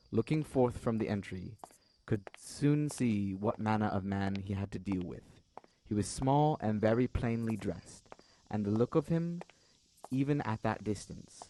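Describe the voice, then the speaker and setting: A man's voice: on the low side, moderate pace, warm tone, a little hiss or hum, low-quality recording. A young man reading scripted literary narration for an audio drama in a formal, dramatic storytelling manner.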